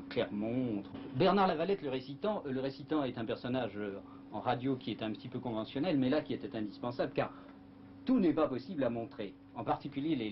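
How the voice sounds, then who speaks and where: A man, a radio producer, recalling the making of a show, halting with many fillers. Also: mid-range voice. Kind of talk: documentary recollection. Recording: dull archive tape with hiss.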